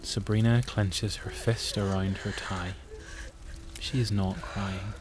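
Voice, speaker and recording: A man's voice: fairly low pitch, little pitch movement, slow, music in the background. A man with a Northern Irish accent reading scripted narration for an audio drama.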